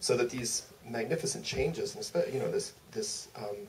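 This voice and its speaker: A man's voice: mid-range voice, normal speed. A man moderating a Q&A, thinking aloud in long, hesitant, meandering sentences. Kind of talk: thoughtful, loosely phrased interview questions. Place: theater stage at a public Q&A. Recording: distant mic, faint room noise.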